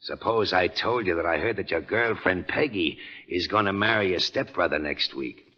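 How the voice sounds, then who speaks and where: A tough, scheming American convict in a vintage radio drama. He is raspy and sharp, goading in gangster slang. The sound is muffled and rings with long reverb. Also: deep voice, moderate pace. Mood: sly, needling. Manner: slangy, needling gangster talk. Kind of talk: 1940s radio acting.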